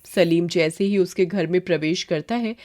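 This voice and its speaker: A woman's voice: lowish voice, moderate pace, heavy background noise. A woman narrating a scripted horror tale in storybook style. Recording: music behind.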